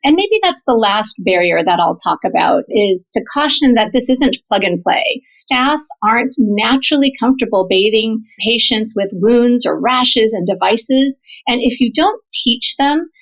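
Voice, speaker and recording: A woman's voice: fairly high pitch, expressive, unhurried, few pauses. A woman physician researcher explaining her work as an interview guest, articulate, earnest and emphatic. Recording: slightly dull, lo-fi audio.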